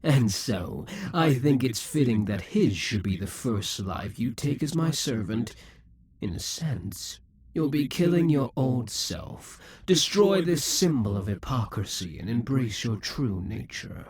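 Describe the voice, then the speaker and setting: A man voicing a possessive, scheming male demon king in a scripted audio roleplay, speaking in slow, breathy half-whispers. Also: medium pitch, big pitch swings, a little mellow, no echo, heavily acted. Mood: gloating, possessive.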